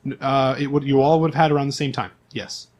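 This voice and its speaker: A man's voice: mid-range voice, fairly even intonation, fast talker, a little mellow. A man acting as game master, explaining the game in a casual, joking, conversational way. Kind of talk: casual tabletop role-playing narration and explanation.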